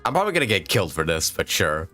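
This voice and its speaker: A woman's voice: extremely low pitch, very animated, very fast, bright, forceful tone, soft background music. A woman streaming a game, chatting casually with frequent giggles and swearing. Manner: giggly, swears freely, hesitant fillers. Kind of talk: casual gameplay commentary.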